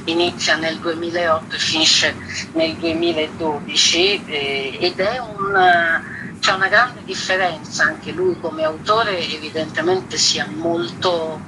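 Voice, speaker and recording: Casting note: female curator in interview, thoughtful, halting, emphatic word choices, clearly noisy background. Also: low voice, steady tone. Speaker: exhibition curator, interview guest. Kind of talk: reflective interview commentary. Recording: very noisy line with background ambience.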